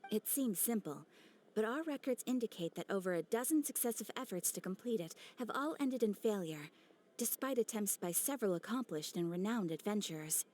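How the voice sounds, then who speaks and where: A woman's voice: medium pitch, animated, a little mellow, lightly acted. A woman voicing a polite, formal receptionist at an adventurers' guild in a video game. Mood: businesslike. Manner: polite, formal, measured, professional. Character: poised, courteous female adventurers' guild receptionist. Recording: faint music behind the voice.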